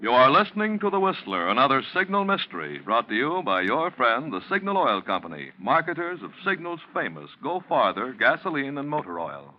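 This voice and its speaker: A man's voice: medium pitch, big pitch swings, fairly quick, hardly any pauses. A man announcing a sponsored programme in 1940s radio style. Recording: dull old radio recording. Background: loud music.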